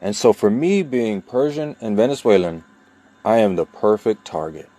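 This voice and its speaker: A man from New York speaking a personal monologue, deliberate and emphatic, with long pauses and repeated key points. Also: on the low side, animated, warm tone. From New York, USA. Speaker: vlogger telling his own story.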